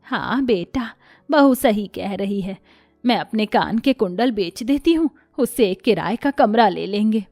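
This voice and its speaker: A woman's voice: medium pitch, animated, measured pace, a little mellow, no echo, soft background music. Woman acting a plaintive, loving elderly grandmother. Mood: consoling.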